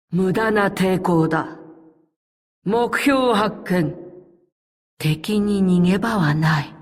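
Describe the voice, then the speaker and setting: A woman voicing a calm, stoic, loyal elite operative in a game, speaking in formal, measured, terse lines. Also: fairly low pitch, big-room sound. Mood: commanding. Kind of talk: scripted game character voice lines.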